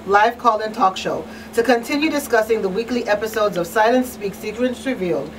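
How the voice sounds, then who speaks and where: A woman hosting a live call-in radio talk show in an informal, unscripted way. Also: medium pitch. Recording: slightly distant mic, faint noise.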